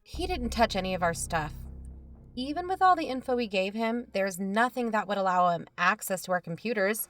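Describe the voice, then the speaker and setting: A woman playing a calm, patient and skeptical podcast co-host in an audio drama, reasoning in a measured way. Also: mid-range voice, faint music behind, lightly acted. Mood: reassuring, firm. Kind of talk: audio fiction podcast dialogue.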